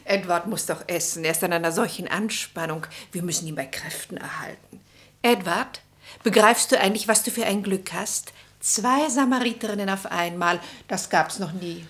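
A woman's voice: fairly low pitch, moderate pace, a bit bright, lightly acted. Actress as a bossy, theatrical older society lady. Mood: brisk, chiding. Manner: theatrical, commanding. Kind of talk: German radio drama acting.

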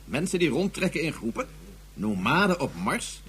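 A man's voice: a little high, sing-song, moderate pace, a bit bright, slightly rough, full character voice. An actor playing a worried father who is searching for his lost baby, asking direct questions. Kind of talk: radio drama acting.

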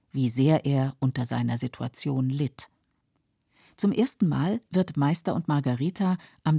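A female documentary narrator with a deep, mellow, husky voice. She reads her script in a measured and sober way for radio, in a dry studio. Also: no echo.

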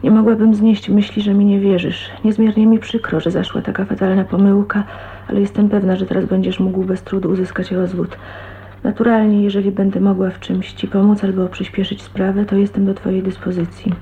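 An actress playing a shy, heartbroken young woman in a radio drama, often murmuring to herself in a hushed, breathy way. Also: medium pitch, flat delivery, fairly quick, close to an everyday voice. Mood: restrained sorrow. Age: young adult. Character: shy, heartbroken 29-year-old woman leaving her husband. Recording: tinny, band-limited 1980s radio recording, hissy.

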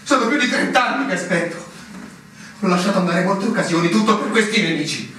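An actor playing an ailing middle-aged army major in a literary radio drama, brooding and pleading in a theatrical manner. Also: male voice, sits high, expressive, fast talker, pushed and bright, a little hiss or hum. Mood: desperate, indignant. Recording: distant, very echoey, music underneath.

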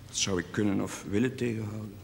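Earnest priest in an echoing hall. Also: male voice, fairly low pitch, steady tone, fast talker, gravelly. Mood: resigned. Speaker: actor. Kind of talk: 1970s television drama acting. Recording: echoey hall, faint noise, TV soundtrack.

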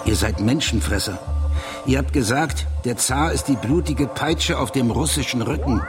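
A man's voice: mid-range voice, normal speed, natural voice. An actor playing a bitter, foreboding reactionary man in a radio drama, speaking in accusatory rhetorical questions. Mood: outraged. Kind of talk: dramatic radio play monologue. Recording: studio radio play with loud music.

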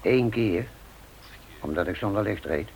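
An actor playing a sheepish, hesitant working-class family man, speaking haltingly in a radio play. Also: lowish voice, lively intonation, gravelly, darkish tone, slight background noise. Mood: defensive, halting. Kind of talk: Dutch radio play acting.

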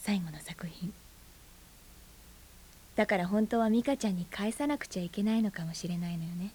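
A husky aunt played by a woman in a radio drama, breathy and guarded. Her casual, blunt phrasing covers a buried grief. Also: mid-range voice, normal speed, warm tone, close to an everyday voice, rough recording. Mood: subdued, bitter. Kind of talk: naturalistic Japanese radio drama acting. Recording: 1990s FM radio drama on cassette.

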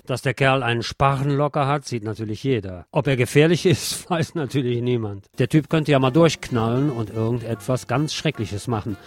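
A man's voice: medium pitch, moderate pace, few pauses, dry recording. A middle-aged man reading a scripted satirical radio column with ironic, theatrical emphasis. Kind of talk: scripted satirical commentary and literary reportage. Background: music behind the voice.

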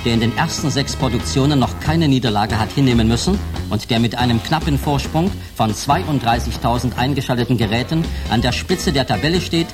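Actor as male football commentator, earnest, formal, medium pitch, radio play, background music. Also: brisk, hardly any pauses, lightly acted. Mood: polished, earnest. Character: polished, earnest male TV football commentator presenting staged matches. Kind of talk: sports broadcast commentary in radio drama.

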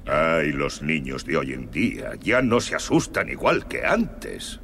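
A man's voice: a bass voice, sing-song, measured pace, gravelly, a bit bright, a little hiss or hum, pitched below the performer's own voice, full character voice. A man voicing a worried, weary older monster company boss, grave and authoritative, for a children's audio story.

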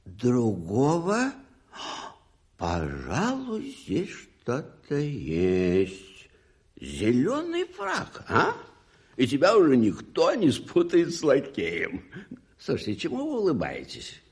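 An actor playing a pompous, slow-witted, self-pleased English duke in a radio play, halting and musing aloud. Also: male voice, medium pitch, wildly swooping pitch, darkish tone, exaggerated voice. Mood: puzzled, amused. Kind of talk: Soviet radio play acting.

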